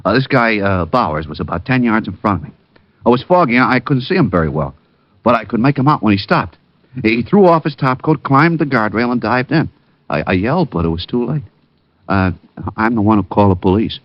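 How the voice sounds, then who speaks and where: A hesitant everyman witness in an old radio crime show. He speaks haltingly in a lowish voice, close and dry on a dull recording. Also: sing-song, no room sound. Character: hesitant ordinary male eyewitness giving his account. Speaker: suicide witness. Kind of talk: 1940s radio drama acting.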